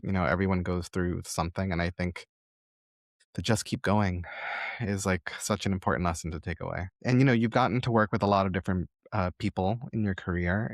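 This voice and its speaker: A man's voice: deep voice, no echo, studio quality. A man hosting a podcast, talking in a casual, earnest interview style and rambling his way toward each question. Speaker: podcast host.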